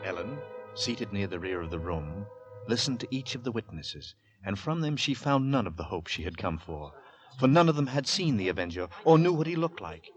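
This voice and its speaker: A man narrating a horror tale as a grave, suspenseful storyteller in measured, literary 1940s radio style. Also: lowish voice, sing-song, over music, low-quality recording.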